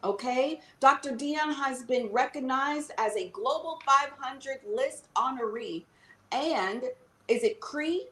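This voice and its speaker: A woman's voice: high-pitched, slow, fairly bright tone. Bubbly woman host in an echoey room. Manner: bubbly, upbeat, says "okay" and "y'all" often. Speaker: podcast host. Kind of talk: enthusiastic podcast interview.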